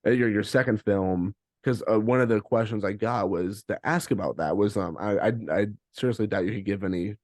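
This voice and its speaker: A man's voice: on the low side, a little fast, hardly any pauses, dry recording. A man hosting a casual interview, stammering over repeated words as he responds appreciatively. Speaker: podcast host, interviewer.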